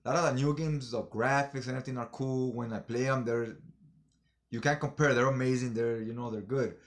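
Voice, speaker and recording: A man's voice: medium pitch, fairly even intonation, fairly quick. A man chatting casually in slangy, informal fan talk as he reviews things for a vlog. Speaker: comic book haul reviewer.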